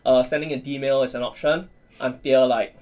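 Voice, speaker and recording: A man's voice: mid-range voice, steady tone, normal speed, a little mellow. A man chatting casually on a talk show, explaining things in an enthusiastic, geeky way. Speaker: talk show panelist explaining visual novels. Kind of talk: casual group chat.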